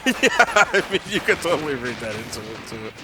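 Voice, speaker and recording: A man's voice: medium pitch, big pitch swings, brisk. A young man co-commentating on a video game, casual and joking with dry, sweary quips. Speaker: let's play co-commentator. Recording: game soundtrack behind the voice. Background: video game music and sound.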